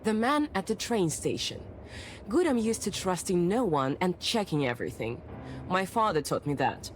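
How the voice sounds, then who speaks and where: A bold, stubborn young lady journalist from a period mystery game, voiced by a woman. She speaks imperiously in a fairly low voice, with faint noise in the background. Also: animated, normal speed, lightly acted. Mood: haughty, defiant. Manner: haughty, assertive, crisp commands. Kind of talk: visual novel voice acting.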